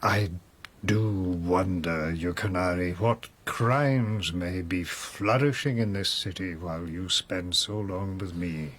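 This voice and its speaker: A man's voice: low-pitched, slow, fairly bright tone, exaggerated voice. An actor in a radio drama playing a drunken nobleman under suspicion, sly and teasing in a languid, ornate, jesting way. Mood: languid musing. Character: drunken nobleman murder suspect, sly, teasing, mock-humble.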